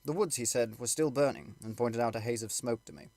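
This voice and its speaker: A man's voice: medium pitch, brisk, creaky, a little mellow, dry recording. A man reading a literary story aloud as scripted audiobook narration.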